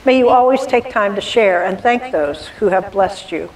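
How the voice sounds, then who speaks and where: A woman reciting a poem for a church holiday program in a measured, earnest reading manner. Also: medium pitch, lively intonation. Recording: distant mic with hiss.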